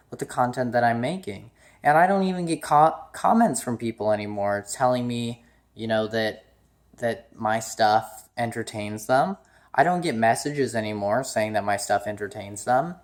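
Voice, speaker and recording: Nineteen yr old dude vlogging, lots of ums, kinda earnest, talks it thru. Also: male voice, medium pitch. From United States. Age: young adult. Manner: rambling, full of ums and restarts, earnest. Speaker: YouTuber. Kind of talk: casual vlog update.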